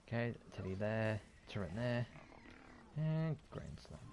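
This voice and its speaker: A man with a low voice and a lot of fry talks casually through his gameplay. He swears freely and mutters to himself as he goes. Game noise is clearly heard behind him. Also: lively intonation, slow, a little mellow. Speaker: gaming video host. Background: video game sounds.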